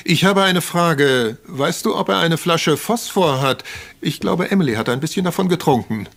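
An actor playing a concerned country doctor in a radio crime drama, discreet and a little hesitant. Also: male voice, on the high side, lively intonation, moderate pace, vocal fry, fairly bright tone, slight background noise. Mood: cautious.

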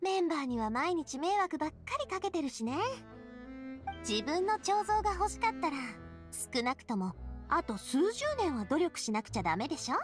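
アニメ調ゲームで、穏やかで茶目っ気のある若い女性リーダーを演じる女性。くだけた女性らしい口調で真摯に話す。. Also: female voice, high-pitched, sing-song, normal speed, faint music behind. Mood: modest, teasing. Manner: casual, feminine, softly earnest. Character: bright, resilient young woman leader, gentle and playful. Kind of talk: Japanese anime game voice acting.

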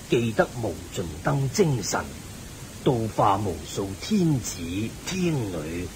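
Slow, kindly Buddhist sage, Hong Kong Cantonese. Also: male voice. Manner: measured, benevolent, didactic. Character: kindly, wise male Buddhist layman sage. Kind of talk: radio drama acting, teaching. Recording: hissy, rumbly old radio recording.

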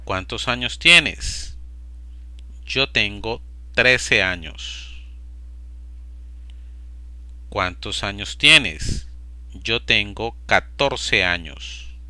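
A man slowly and deliberately repeating short phrases for language learners. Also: lowish voice, animated, bright, forceful tone, no echo. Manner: slow, deliberate, evenly paced. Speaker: Spanish teacher. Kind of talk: slow repetition drill for learners.

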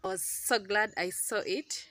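A young Ugandan woman with a Ugandan accent, chatting excitedly to a phone camera in her outdoor garden. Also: medium pitch, unhurried, no echo. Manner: excitable, chatty, laughs often. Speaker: gardening vlogger. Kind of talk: casual chat to camera. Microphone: phone camera held close.

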